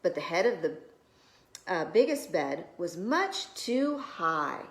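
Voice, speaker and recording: A woman's voice: on the low side, very animated, slow, echoey room. A woman preschool teacher reading a storybook aloud expressively to young children.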